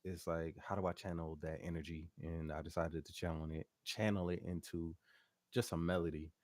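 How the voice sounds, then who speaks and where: A young man with a very low voice talks through how he made a song, relaxed and easygoing with plenty of casual filler. The sound is dry and close-miked. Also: moderate pace, no room sound. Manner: relaxed, hums melodies, laughs easily. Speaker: guest R&B singer and producer. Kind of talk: casual interview chat.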